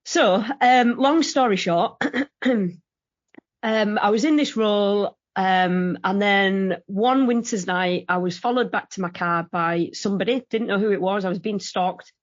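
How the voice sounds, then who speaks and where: A woman's voice: mid-range voice, a little slow, dry recording, dull audio. A woman, a guest on a podcast, talking casually and telling stories in a friendly way. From United Kingdom. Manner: friendly, laughs easily, storytelling. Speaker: guest, founder and CEO. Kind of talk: casual interview chat.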